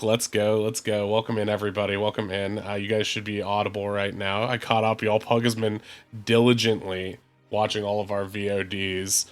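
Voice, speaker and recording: A man hosting a tabletop game stream, congested from the flu, chatting loosely with lots of fillers before opening the session. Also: fairly low pitch. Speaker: game master. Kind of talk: casual stream chat and game intro.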